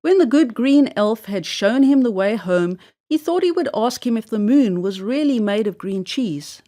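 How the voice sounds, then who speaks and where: A woman reads a children's tale aloud. Her voice sits fairly high and moves up and down with lively intonation, and her delivery is deliberate and expressive. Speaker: storyteller. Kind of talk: children's story read-aloud narration.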